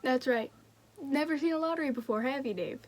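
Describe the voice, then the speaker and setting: A woman's voice: high voice, a little fast, natural voice. A woman with an American accent playing a neighborly young small-town farm man in an amateur play. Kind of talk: amateur stage-play acting.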